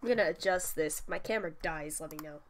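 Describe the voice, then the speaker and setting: A woman's voice: low voice, fast, a little hiss or hum. A woman with a stuffy cold, chatting casually through a screen-share tutorial on tuning a vocal synth, with laughing, mildly swearing asides. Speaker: music producer teaching vocal synth tuning.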